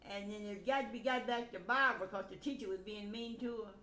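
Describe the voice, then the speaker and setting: A woman's voice: medium pitch, a little fast, a bit bright. An older woman tells rambling family stories in a casual, blunt voice, often quoting both sides of a conversation. The room is echoey, with noticeable background noise. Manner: conversational, quotes exchanges as dialogue, blunt. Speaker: grandmother recounting family stories.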